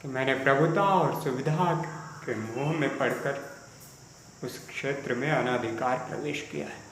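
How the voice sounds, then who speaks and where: A man's voice: mid-range voice, a bit bright, natural voice. Guy doing a sad brooding poet, stage monologue, solemn and formal, super echoey room, bit of insect hiss. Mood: anguished. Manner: solemn, deliberate, formal literary diction with dramatic pauses. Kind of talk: Hindi stage drama monologue, audition performance.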